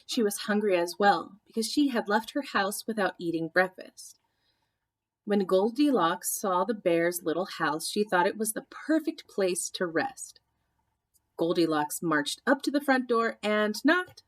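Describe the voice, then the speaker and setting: A woman reading a children's story aloud like a teacher, with expressive, deliberate storytelling. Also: mid-range voice. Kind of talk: storybook read-aloud narration with asides.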